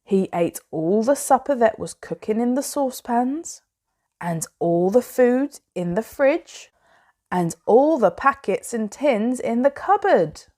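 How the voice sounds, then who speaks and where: A woman reading a children's bedtime story aloud in a measured, expressive way with dramatic pauses. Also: a little high.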